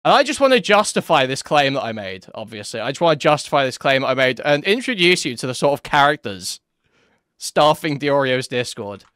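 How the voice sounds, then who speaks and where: Crude, mocking young man with a smooth voice. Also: mid-range voice, very animated, a little fast, fairly bright tone, no room sound, studio quality. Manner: mocking, crude and sarcastic, laughs at his own jabs. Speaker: commentary YouTuber. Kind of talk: drama commentary.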